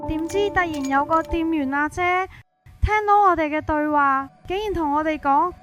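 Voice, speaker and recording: A woman's voice: high-pitched, normal speed, a bit bright. A woman with a Hong Kong Cantonese accent narrating a scripted first-person ghost story. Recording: prominent music behind.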